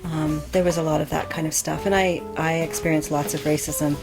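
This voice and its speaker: A woman's voice: low voice, fairly even intonation, a little fast, gravelly, over music. A middle-aged woman being interviewed as an author, answering thoughtfully with frequent ums and restarts. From Canada. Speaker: author guest.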